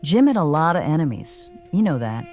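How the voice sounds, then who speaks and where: A low-pitched, very animated cruel wrestling boss woman in a noir radio drama. She taunts theatrically, smirking through her lines, with music behind her. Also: brisk. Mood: smug, cruel. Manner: taunting, theatrical, hums while eating. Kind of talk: noir audio drama acting.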